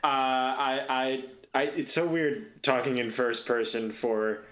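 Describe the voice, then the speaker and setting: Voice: medium pitch, fairly even intonation, slow, a bit bright. A player talking casually and hesitantly while improvising in a tabletop roleplay game.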